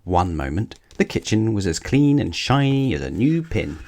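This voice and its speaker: Low-voiced man narrating a children's story. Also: big pitch swings, normal speed, darkish tone.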